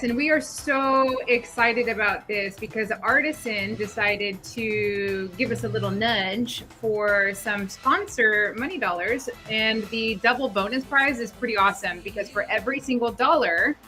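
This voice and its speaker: Bright bubbly woman cohosting a live stream, kinda high voice, jokey and upbeat. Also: a little slow, faint music behind. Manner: playful, laughing, enthusiastic. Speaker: co-host of a live audio stream. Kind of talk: casual live-stream chat.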